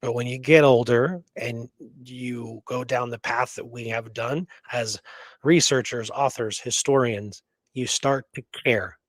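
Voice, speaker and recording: A man's voice: medium pitch, fairly even intonation, measured pace, no room sound. A middle-aged man with an American accent and a mid-range voice talks in a casual, opinionated way. He is emphatic and colloquial, and the sound is close and dry. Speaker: podcast co-host, history researcher and author. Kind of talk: casual opinionated history chat.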